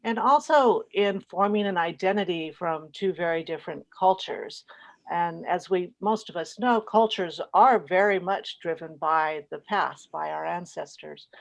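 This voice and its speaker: Low-voiced American woman hosting an interview. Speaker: podcast host interviewer. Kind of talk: conversational author interview.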